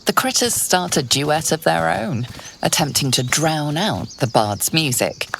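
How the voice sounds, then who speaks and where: An actress playing a composed narrator in a fantasy video game, delivering scripted lines in a measured storybook manner. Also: female voice, low-pitched, animated, vocal fry, a bit bright, slight background noise, heavily acted. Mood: dryly descriptive.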